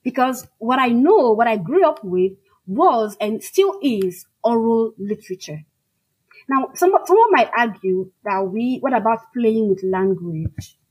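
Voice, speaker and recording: A woman's voice: fairly high pitch, expressive, unhurried, darkish tone, breathy edge, low-quality recording. A woman from Nigeria, a native Igbo speaker with a Nigerian accent, answering as a writer in an emphatic, conversational way. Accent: Nigerian Igbo-language. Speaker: fiction writer being interviewed. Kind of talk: conversational, reflective interview answers.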